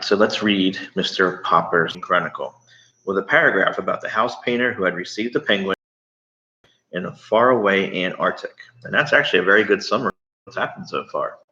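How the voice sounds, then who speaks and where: A man reading a storybook aloud, pausing to explain things and ask questions as he goes. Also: deep voice, bright, forceful tone, a little hiss or hum. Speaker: read-aloud storyteller to children. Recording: slightly muffled, echoey room.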